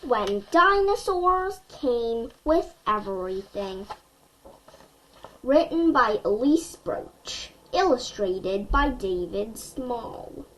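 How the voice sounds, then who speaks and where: Slow, animated woman reading a storybook. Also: a little high, rough recording. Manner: expressive, deliberate, emphatic. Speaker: children's storybook reader. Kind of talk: read-aloud storytelling with questions to young listeners.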